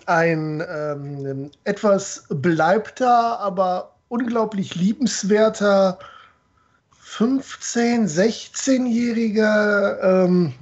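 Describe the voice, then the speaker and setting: A man with a high voice explains things casually and slowly, in a halting, wry way. He sounds slightly muffled, as on an online call from home. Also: a little mellow. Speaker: stream guest and co-writer. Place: room at home, video call.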